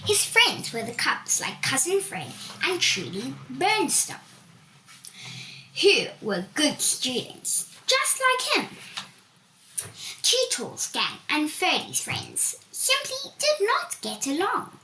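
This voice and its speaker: A woman reading a children's storybook aloud in a deliberate, expressive storytelling manner, with emphatic swoops. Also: high voice. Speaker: volunteer story reader. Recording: echoey room with background hiss.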